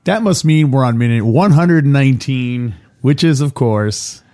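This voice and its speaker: A man co-hosting a podcast in casual chat, hoarse from screaming, rambling with fillers. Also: mid-range voice, a little slow, warm tone. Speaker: podcast co-host.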